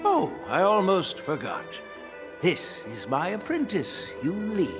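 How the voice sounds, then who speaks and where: A man's voice: high voice, wildly swooping pitch, takes it slowly, exaggerated voice. An actor playing a genial, easygoing elderly male general who chuckles and avoids taking sides, in a game cutscene. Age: older. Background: background music.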